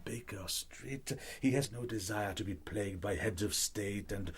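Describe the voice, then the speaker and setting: An actor in a radio drama playing a genial, admiring French reformed criminal, with a husky voice and a French accent. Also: male voice, fairly low pitch, moderate pace. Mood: confiding.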